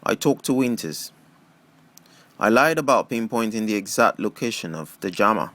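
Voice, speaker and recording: A man's voice: on the low side, expressive, normal speed, a bit bright. A man voicing a determined, guarded young woman survivor in an audiobook, speaking tersely and evasively.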